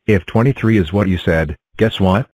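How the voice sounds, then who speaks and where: A man narrating and voicing number characters in a fan cartoon with a plain, even delivery. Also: low voice, moderate pace, no echo.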